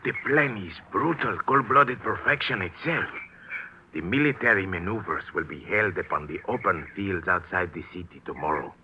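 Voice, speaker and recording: An actor playing a grave South American official who speaks formally and emphatically in a 1940s radio drama. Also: male voice, deep voice, normal speed, highs cut off, background noise, lightly acted. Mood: grave, emphatic. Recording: thin old radio recording.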